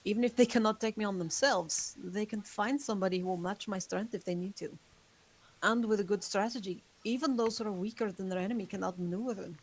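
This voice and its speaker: A woman reading an ancient, hypnotic female vampire at a table read, calm and soft-spoken. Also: mid-range voice, fast talker, husky, natural voice, poor audio. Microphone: online voice call.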